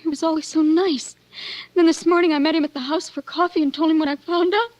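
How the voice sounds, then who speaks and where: A woman's voice: extremely high pitch, fairly even intonation, fast talker, darkish tone. An actress playing a desperate, frightened young woman in 1940s radio melodrama, tearful, shivering and in pain. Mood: anxious pleading.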